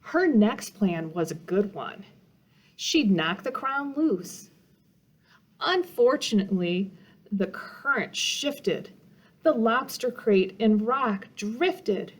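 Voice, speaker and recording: A woman's voice: a little high, expressive, slow. A woman reading a children's picture book aloud as a storytime reader, with dramatic emphasis meant to engage young listeners.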